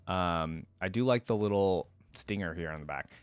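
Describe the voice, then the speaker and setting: A man's voice: deep voice, normal speed, clean tone, dry recording. A man giving casual reaction commentary as a video host, talking informally and often hedging or correcting himself. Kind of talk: casual reaction commentary, partly reading aloud from a wiki.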